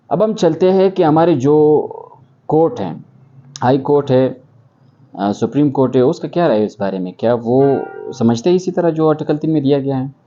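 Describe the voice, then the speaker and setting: A man's voice: fairly high pitch, dark tone. A man from Pakistan with a Pakistani accent giving an explanatory legal lecture, repeating and rephrasing points and mixing in English legal terms. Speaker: law lecturer. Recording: dull, muffled lo-fi audio.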